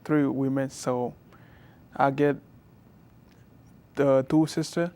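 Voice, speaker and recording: A young man with a Liberian accent answers an interviewer's questions. His voice is smooth and clear. He speaks on a steady, even pitch, softly and haltingly, with frequent restarts. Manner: soft-spoken, halting, with many restarts. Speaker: guest, college student.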